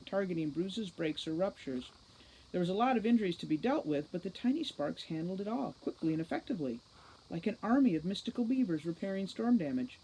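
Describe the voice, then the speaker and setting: A woman giving an expressive reading of a fantasy novel aloud, like a teacher reading to a class. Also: on the low side, a little hiss or hum, low-quality recording.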